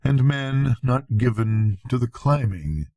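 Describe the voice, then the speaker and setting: An older man reads a storybook aloud at a slow pace. His voice is husky and sits at a middling pitch, with solemn, dramatic phrasing in a dry recording. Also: lively intonation, dark tone, no echo. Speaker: narrator, grandfather reading bedtime stories. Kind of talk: scripted storybook narration.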